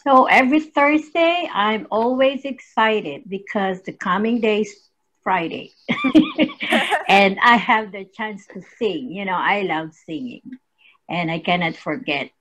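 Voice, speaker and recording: An older woman, eager and animated, talks close to her laptop camera. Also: medium pitch, slow pace, rough recording. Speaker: program participant.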